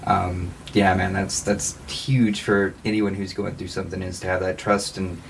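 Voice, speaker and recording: Male co-host. Low, monotone voice, hesitant casual phrasing, slight noise in the background. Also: gravelly. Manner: halting, searching for words. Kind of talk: casual conversational talk.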